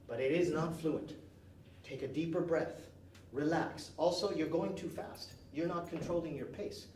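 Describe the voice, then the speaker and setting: A man's voice: on the high side, a little slow, a little mellow. A man teaching an English class, giving emphatic, directive instructions and barking short commands as he leads drills. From moved to Canada at age nine. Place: indoor classroom. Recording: roomy classroom, distant mic, faint noise.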